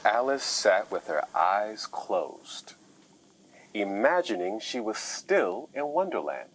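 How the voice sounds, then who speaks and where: A gentle storytelling man talks to children at a slow, patient pace. His delivery is soft and measured, with lively rises and falls, as in a narrative video game. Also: fairly low pitch, slight background noise, heavily acted, poor audio. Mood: gentle, wistful.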